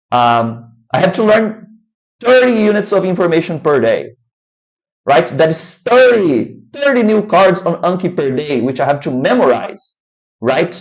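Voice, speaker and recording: A man's voice: a little high, dull audio. A man with a Brazilian Portuguese accent, a language-learning vlogger talking casually to camera, rambling and often adding "right?". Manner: rambling, frequent "right?" tag questions.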